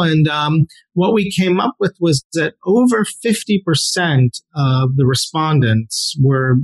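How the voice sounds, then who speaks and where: A man's voice: fairly high pitch, rough recording. A man answering interview questions, explaining at length with frequent repeated words and fillers. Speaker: sex therapist guest.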